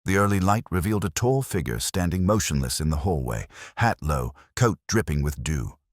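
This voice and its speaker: A man with an extremely low, husky voice narrates a mystery story. His delivery is measured and atmospheric, with lively swings in pitch. The sound is close and dry. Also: no echo. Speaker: audiobook narrator. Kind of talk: dramatic scripted mystery narration.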